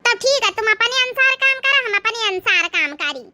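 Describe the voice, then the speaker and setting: A woman voicing a stubborn, theatrical village wife in a comedy cartoon, whining insistently in an Awadhi accent with a squeaky pitched-up voice. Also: animated, normal speed, sharp tone, no room sound, clean studio sound, exaggerated voice. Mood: demanding, nagging. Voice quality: squeaky, artificially high voice. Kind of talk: exaggerated cartoon comedy dialogue.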